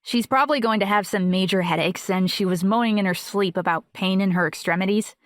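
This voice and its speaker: A woman's voice: mid-range voice, fairly quick, dry recording, studio quality, lightly acted. A young woman playing a self-assured, talkative friend who reassures a worried parent in a fluent, matter-of-fact way in an audiobook. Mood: matter-of-fact, reassuring. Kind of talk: audiobook dialogue acting.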